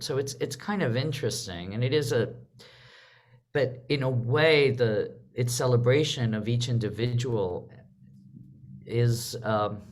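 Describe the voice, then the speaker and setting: A woman hosting a live online panel, talking conversationally and often interrupting herself as she goes. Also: very deep voice. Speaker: host and artistic director leading a panel. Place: video call.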